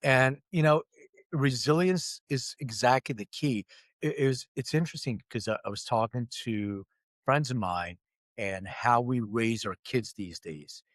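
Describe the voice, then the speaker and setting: A man's voice: medium pitch, unhurried, dry recording. Candid man telling his life story. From Lithuania, raised in Philadelphia. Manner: open, self-deprecating, acts out quoted voices. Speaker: guest, company CEO.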